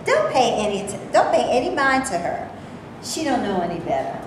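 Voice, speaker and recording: A woman playing a weary, sharp-tongued actress with a folksy, emphatic delivery in a staged play reading. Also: a little high, very animated, normal speed. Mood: angry, scolding. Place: indoor room, live staged reading. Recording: echoey room, hissy amateur video.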